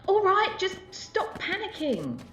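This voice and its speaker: Casting: woman playing breezy, coaxing alien traveller, high female voice, glib patter, comic radio drama, roomy sound. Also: huge pitch swings, takes it slowly, fairly bright tone, heavily acted. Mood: exasperated. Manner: glib, persuasive, broken-up patter. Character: quick-witted, breezy female alien hitchhiker, coaxing and flippant. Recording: dull, echoey home recording.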